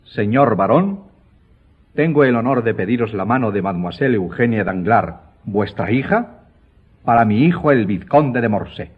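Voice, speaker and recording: A proud count in a radio play, husky and pompous, pressing his point with stiff military formality. The audio is narrow and phone-like. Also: lowish voice, animated, moderate pace. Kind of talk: Spanish radio drama acting.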